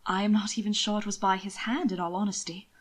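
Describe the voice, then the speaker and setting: A woman reading the part of a gentle, anxious young nobleman in an audiobook, halting and uncertain in manner. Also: mid-range voice, steady tone, lightly acted. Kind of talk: audiobook character dialogue.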